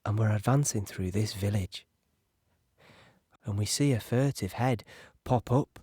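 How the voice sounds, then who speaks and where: Sombre soldier, close dry recording. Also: male voice, on the low side, unhurried, mellow, breathy edge, no room sound. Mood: tense, anguished. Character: sombre, conscience-troubled British soldier recounting the Normandy campaign. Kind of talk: dramatic first-person monologue in an audio play.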